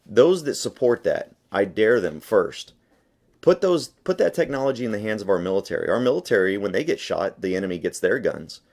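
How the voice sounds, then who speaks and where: Low-voiced man, emphatic phone interviewee. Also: sing-song, a little fast, darkish tone. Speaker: interview guest, gun rights advocate. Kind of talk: phone interview, argumentative answers. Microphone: phone call.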